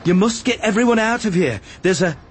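Man voicing a desperate, commanding warrior shouting warnings. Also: high voice, lively intonation, fairly quick, husky, voice pushed up. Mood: urgent, exasperated. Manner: urgent, forceful shouting. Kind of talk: dramatised audiobook dialogue.

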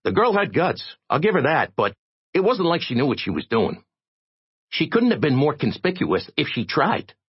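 A man voicing a tiny, frantic, streetwise reporter with a squeaky, irritating little voice, in an audiobook. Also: big pitch swings, normal speed, breathy edge, highs cut off. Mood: gossipy. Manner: streetwise slangy American talk.

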